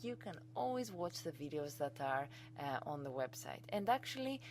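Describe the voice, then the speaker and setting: A woman with a Greek accent and a notably low voice walks students through software in a casual screencast. She sounds keen and informal, teaching through a computer mic. A faint hum sits under her voice. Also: big pitch swings, moderate pace. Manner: enthusiastic, chatty and informal. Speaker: college instructor.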